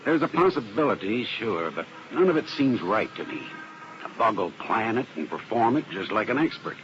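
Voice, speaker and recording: A man's voice: fairly low pitch, sing-song, moderate pace, over music. An American actor playing an earnest, methodical male arson specialist in a 1950s radio drama, explaining things with plain-spoken assurance. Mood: assured explaining. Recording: dull old radio recording with heavy noise.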